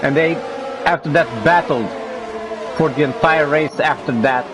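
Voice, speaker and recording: A man with a cold commentating a sim racing league broadcast, rising in pitch when the action heats up. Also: steady tone, moderate pace, noisy background. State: has a cold. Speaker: race commentator.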